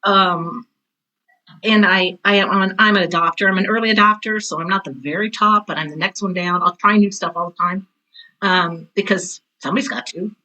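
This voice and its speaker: A woman's voice: on the low side, fairly even intonation, fairly quick, pushed and bright, low-quality recording. A woman in her sixties speaking as an interview guest, a chatty, emphatic teacher who tells anecdotes and acts out quotes. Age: 60s. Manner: chatty, emphatic, often quotes herself and others. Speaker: guest, veteran theater teacher and author. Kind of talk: animated conversational interview, anecdotes.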